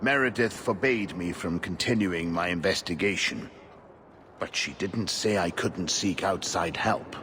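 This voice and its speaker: A weary, obsessive investigator from a fantasy game lays out his case earnestly. His voice sits fairly low, measured and frustrated, over clearly audible ambient noise. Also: sharp tone. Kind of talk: video game voice acting.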